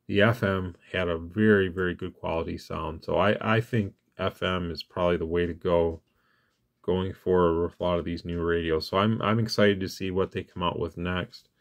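A man hosting a casual hands-on radio gear demo, talking in a plainspoken, informal way. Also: deep voice. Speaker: radio review host. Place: indoors at an equipment bench.

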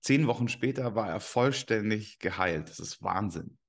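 A man's voice: lowish voice, lively intonation, moderate pace. A man in his thirties hosting a podcast over a video call, upbeat and enthusiastic as he introduces a guest and asks questions. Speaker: podcast host. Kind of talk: scripted intro, then interview questions. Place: room with large windows, indoors.

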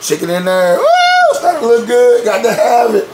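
A man in his thirties, a little hoarse, rambling loosely and slangily in a home-cooking vlog recorded on a handheld phone in his kitchen. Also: very high-pitched, lively intonation, normal speed, heavy background noise. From Evansville, Indiana, US. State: a bit hoarse. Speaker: cooking vlogger. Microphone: phone held at arm's length.

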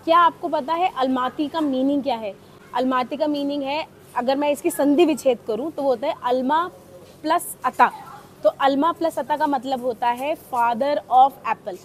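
Woman travel vlogger, high voice, chatty, mixes English phrases in, outdoors, handheld camera at arm's length. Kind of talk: casual travel vlog talk to camera. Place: outdoors at a mountain cable car station.

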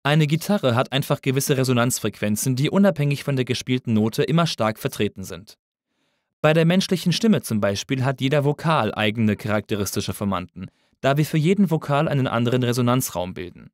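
A man reading a scripted tutorial as an instructor, in a measured, didactic manner. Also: mid-range voice, animated, no room sound, studio quality. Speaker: audio engineering instructor. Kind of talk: scripted lesson narration.

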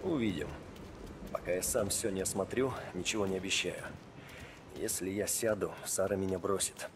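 A man's voice: sits low, background noise. A wary family-man mobster. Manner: measured, reluctant.

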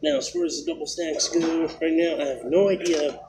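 A man's voice: on the high side, very animated, a little fast, creaky, background noise. A man in his thirties with a cold, talking in a nasal voice as he rambles through a casual food review vlog on a close phone camera, indoors. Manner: rambling, many fillers and restarts. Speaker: vlogger. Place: indoors, small room.

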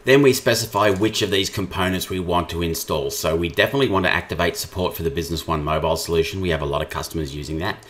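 A man with a low-pitched voice presents a software tutorial. He explains each step informally, as a trainer talking through a screen share. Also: fast talker, hardly any pauses.

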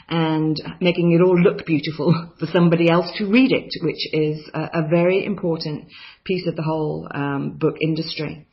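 Enthusiastic woman tutoring a writing course. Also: fairly low pitch. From New Zealand. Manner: enthusiastic, chatty, with frequent ums. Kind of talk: course overview narration over slides. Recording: slightly dull lo-fi voice-over.

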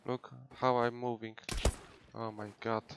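A man's voice: mid-range voice, little pitch movement, a little slow, highs cut off, background noise. A young man from Poland with a Polish accent, casually commenting on his gameplay live from a home room into a headset mic, with many fillers. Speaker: gaming streamer.

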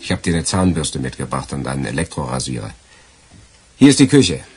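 An actor playing a suave, philosophizing gangster in a German radio crime drama, speaking with theatrical, deliberate emphasis. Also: male voice, extremely low pitch, very animated, a little mellow, faint music behind, full character voice. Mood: brisk, reassuring. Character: suave philosophizing gangster, loyal older accomplice.

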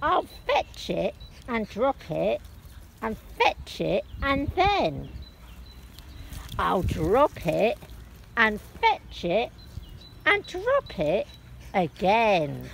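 A woman voicing an eager, playful dog from a storybook in drawn-out, repetitive phrases. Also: mid-range voice, wildly swooping pitch, gravelly, pitched below the performer's own voice, exaggerated voice. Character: eager playful pet dog obsessed with fetching. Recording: loud rushing stream water noise.

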